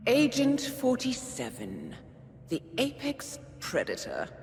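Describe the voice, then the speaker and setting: A woman playing a mocking, cold female tormentor in a game cutscene, taunting in a sardonic, drawn-out way. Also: fairly high pitch, wildly swooping pitch, a little mellow, heavily acted. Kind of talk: video game cutscene acting. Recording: reverberant with clear background noise.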